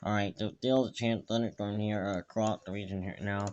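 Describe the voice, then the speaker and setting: A man giving improvised live weather commentary, halting and repetitive, stumbling over phrases and place names. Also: on the low side, steady tone, darkish tone, slight background noise. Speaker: livestream weather host. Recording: dull lo-fi microphone sound.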